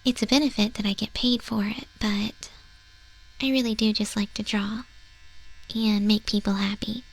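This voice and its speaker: A woman voicing a gentle, sincere moth monster girl tattoo artist in a soft, breathy, tender ASMR roleplay. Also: mid-range voice, flat delivery, moderate pace, lightly acted, rough recording.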